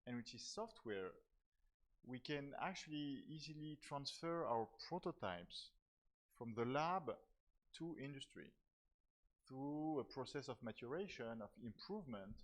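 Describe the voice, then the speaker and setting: French-born guy giving a tech conference talk, lots of uhs and restarts, average pitch. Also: fairly bright tone. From France. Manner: hesitant, repeats words and uses many fillers. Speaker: professor of software technology. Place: conference hall.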